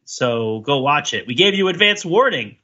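A man's voice: fairly high pitch, sing-song, normal speed, sharp tone, muffled recording. An American man bantering casually and jokingly as a podcast panelist.

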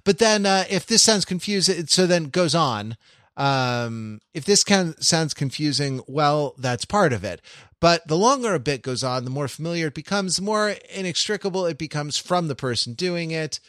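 A man with a voice pitched a little high talks like a podcast co-host working out an idea. His delivery is casual and analytical, halting and often restarting as he thinks aloud. The sound is dry, with very little room to it. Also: expressive, no room sound. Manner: halting, self-correcting, thinking out loud.

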